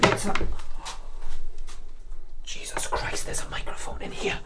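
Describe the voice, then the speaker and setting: An actor playing an anxious, suspicious young man in a radio drama, intense and prone to breathy half-whispers. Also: unhurried, pushed and bright. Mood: frantic. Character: intense young male activist, guilt-ridden, suspicious, anxious boyfriend.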